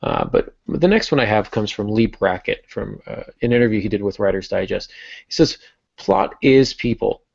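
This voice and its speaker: A man's voice: on the low side, big pitch swings, moderate pace, gravelly, darkish tone, breathy edge, dry recording, muffled recording. A man hosting a casual podcast panel discussion, talking conversationally as a writer among colleagues. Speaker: podcast host and novelist.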